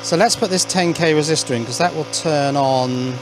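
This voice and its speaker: A man's voice: on the high side, moderate pace, noisy background. A man giving casual workbench commentary as an electronics hobbyist, thinking aloud and questioning himself as he goes. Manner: musing, self-questioning, muttering asides. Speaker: electronics hobbyist video maker. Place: indoors at a workbench.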